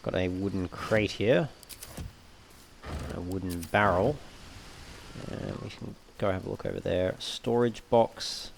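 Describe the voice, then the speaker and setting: A man's voice: deep voice, steady tone, measured pace, creaky, long pauses, slight background noise. A man giving casual commentary over a video game, sometimes reading item descriptions aloud. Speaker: let's play host.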